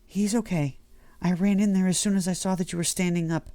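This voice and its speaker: A man's voice: sits high, fairly even intonation, a little fast, dark tone, no room sound, pitched above the performer's own voice, natural voice. A man voicing a frightened, worried wife and mother in a horror audiobook, in a shaky manner. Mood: anxious, shaken.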